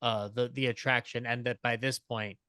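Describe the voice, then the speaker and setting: A man with a smooth, bright voice speaks as a panelist in a casual discussion. His voice is very clear and sits in a dry recording, and he works through his points in a thoughtful, wandering way. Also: mid-range voice, steady tone, normal speed, dry recording. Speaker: podcast panelist.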